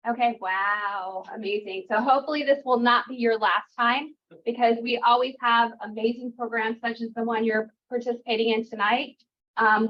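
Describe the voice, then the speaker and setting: A woman on the venue staff, chatty and upbeat, welcoming the audience and making announcements. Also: a little high. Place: meeting room. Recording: dull lo-fi room recording.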